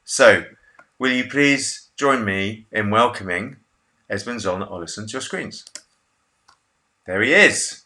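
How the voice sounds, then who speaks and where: A man's voice: fairly low pitch, lively intonation, a little slow, long pauses, bright, forceful tone. A man in his thirties hosting a casual video-call interview from a home office, chatty, jokey and self-deprecating. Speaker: interview host.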